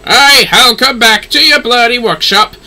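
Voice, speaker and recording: Man doing a surly sailor, sharp-voiced. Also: very high voice, a little slow, voice pushed up, full character voice. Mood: surly, defensive. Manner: coarse, slangy, grumbling. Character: blunt, surly, hard-drinking sailor turned gunnery instructor. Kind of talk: fantasy game dialogue read aloud.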